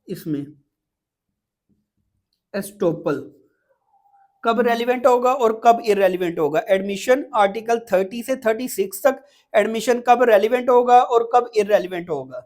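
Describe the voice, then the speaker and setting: A woman's voice: on the high side, darkish tone. Pakistani woman teaching step by step. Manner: repetitive, step-by-step, checking in often. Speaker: law exam prep teacher. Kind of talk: informal lecture, explaining.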